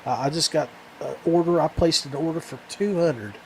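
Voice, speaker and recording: A man hosting a fishing podcast in a casual, rambling, halting way that often trails off. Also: fairly high pitch, creaky, darkish tone, a little hiss or hum, low-quality recording.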